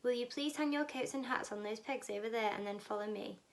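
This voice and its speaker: A woman voicing a brisk, excitable male chocolate factory owner who calls out commandingly and boastfully in a storybook reading. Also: a little high, close to an everyday voice. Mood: brisk, urging.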